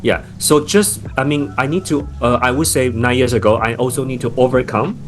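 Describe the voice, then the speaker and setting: A man's voice: mid-range voice, fairly quick, soft background music. A man from Taiwan with a Taiwanese accent, a startup founder speaking as a podcast guest, thoughtful with many fillers and restarts. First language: Chinese. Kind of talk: podcast interview.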